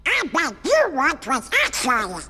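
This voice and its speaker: A man's voice: very high-pitched, huge pitch swings, unhurried, pushed and bright, in a large hall, slight background noise, heavily acted. A man voicing a small, pushy duck sidekick in a video game, squawky and garbled. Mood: urging.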